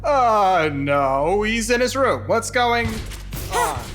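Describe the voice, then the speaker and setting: High-voiced, earnest young officer acted by a man. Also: big pitch swings, takes it slowly, over music, heavily acted. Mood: puzzled. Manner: earnest, formal, reasoning. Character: earnest, by-the-book young male military officer. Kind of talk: audio drama acting.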